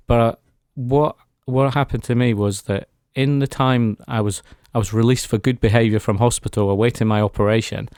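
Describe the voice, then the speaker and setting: A man's voice: medium pitch, a little mellow, dry recording, studio quality. A man speaking as a guest in a radio interview, giving an earnest, reflective personal testimony. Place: radio studio.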